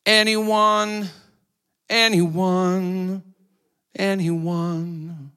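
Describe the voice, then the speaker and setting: A man preaching from the pulpit, taking his time over every phrase. His voice is bright and a little high, and he lets it swell loud and then fall away again. He keeps repeating lines to drive the point home. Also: little pitch movement, drawn-out words, clean tone. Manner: sings hymn lines softly, repeats phrases. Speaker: pastor. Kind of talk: sermon.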